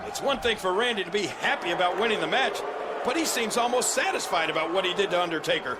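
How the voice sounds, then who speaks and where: A man's voice: sits high, animated, fast, very noisy. A man voicing a wisecracking male wrestling color commentator in a video game. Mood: commentating. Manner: chatty, wry commentary. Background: music.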